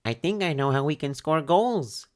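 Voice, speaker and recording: A man voicing an eager young boy turtle in a storybook, pitched higher than his own low voice. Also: lively intonation, normal speed, darkish tone, close to an everyday voice. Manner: earnest, raised pitch.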